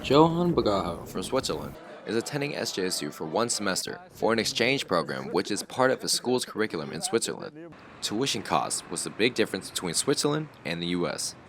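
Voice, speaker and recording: A man's voice: lowish voice, big pitch swings, a little fast, a bit bright. A man narrating scripted news stories as a reporter.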